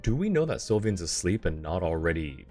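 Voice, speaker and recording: A laid-back male game streamer with a very deep voice. He chats casually and reads dialogue aloud in a low-key manner, with faint game music behind him. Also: big pitch swings, a little fast, a little mellow.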